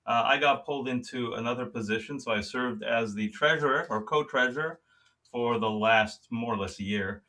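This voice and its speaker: A man's voice: medium pitch, moderate pace, bright, forceful tone, recorded from a distance. A man who is a native German speaker, informally chairing a meeting and introducing himself.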